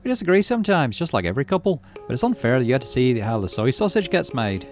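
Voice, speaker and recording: A man reading a game's dialogue aloud and voicing a gentle, anxious, protective father. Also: medium pitch, big pitch swings, fast talker, soft background music, pitched above the performer's own voice, natural voice. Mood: affectionate. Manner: pleading, soft.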